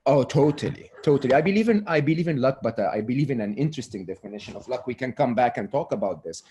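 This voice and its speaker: A man's voice: medium pitch, expressive, fast talker, warm tone. A man, a guest talking earnestly over a remote call, often restarting and dropping his voice to close a point. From Egypt. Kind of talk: relaxed interview talk.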